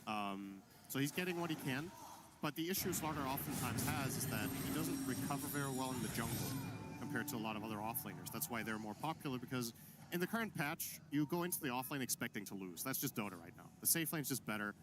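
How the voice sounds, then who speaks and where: Measured male esports analyst. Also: mid-range voice, lively intonation. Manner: measured, explanatory, with frequent ums. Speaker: color analyst. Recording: broadcast commentary over game music.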